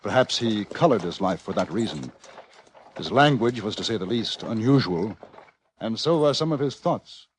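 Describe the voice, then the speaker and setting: An actor playing a composed English newspaper correspondent on the American frontier, speaking in the measured, formal style of old radio drama narration. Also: male voice, lowish voice, expressive, a little hiss or hum, close to an everyday voice. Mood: measured, reflective. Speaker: narrator and interviewer. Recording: old radio broadcast with music underneath.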